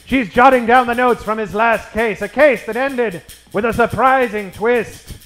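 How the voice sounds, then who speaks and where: A man's voice: very high voice, a bit bright. A man narrating a tongue-in-cheek 1940s-style radio detective show, melodramatic, drawing out his punchlines. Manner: theatrical, melodramatic, drawn-out punchlines. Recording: music playing behind the voice.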